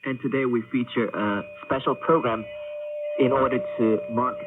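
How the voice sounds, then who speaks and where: A man's voice: mid-range voice, fairly even intonation, moderate pace. A man co-hosting a radio program in a casual announcing style, wordy and hesitant, often repeating himself. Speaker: radio host. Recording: tinny shortwave radio broadcast, music behind.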